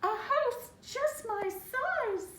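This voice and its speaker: A woman voicing a tiny, fussy male mouse from a children's storybook, squeaky and emphatic in short phrases. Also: expressive, drawn-out words, fairly bright tone, reverberant, recorded from a distance, slight background noise, exaggerated voice. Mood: delighted. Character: tiny fussy male house mouse, cozy-loving squatter. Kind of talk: children's storybook character reading.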